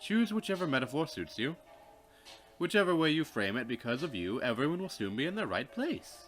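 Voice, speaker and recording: A man playing a visual novel with a friend, reading a smug character's lines aloud in a slow, measured way. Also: a little high, big pitch swings, fairly bright tone, faint music behind. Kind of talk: casual let's play, reading dialogue aloud.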